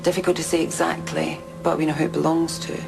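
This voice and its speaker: An actress playing a matter-of-fact police forensics investigator, measured and factual, in an audio drama. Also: female voice, low voice, flat delivery, vocal fry, music in the background, poor audio.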